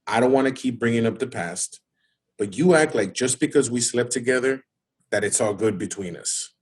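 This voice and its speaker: A man's voice: mid-range voice, normal speed, husky, close to an everyday voice. A man acting in an audio drama as a hurt, exasperated young father rebuffing his ex. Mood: firm, weary. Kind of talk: naturalistic scripted audio drama.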